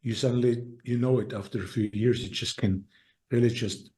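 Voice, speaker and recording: Austrian-accented male guest, warm and mellow, earnest, halting delivery. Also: medium pitch, steady tone. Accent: Austrian German. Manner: earnest, halting with many restarts. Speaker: podcast guest. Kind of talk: casual interview talk.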